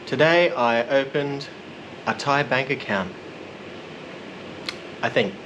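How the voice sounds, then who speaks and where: A man narrating a casual vlog in a relaxed, rambling, self-deprecating way. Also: medium pitch, slow, noisy background. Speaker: vlogger.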